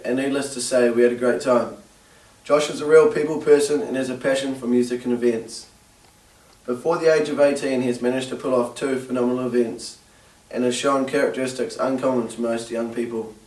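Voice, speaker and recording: A man interviewing his friend, reading steady prepared questions. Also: mid-range voice. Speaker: interviewer, friend nominating the guest. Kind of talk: semi-scripted interview questions. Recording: distant roomy camera sound.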